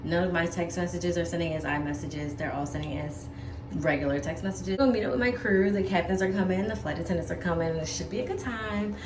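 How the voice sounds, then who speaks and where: A woman's voice: fairly low pitch, brisk. A woman flight attendant chatting casually to her phone camera in a travel vlog, bubbly and full of fillers. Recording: roomy sound with background noise.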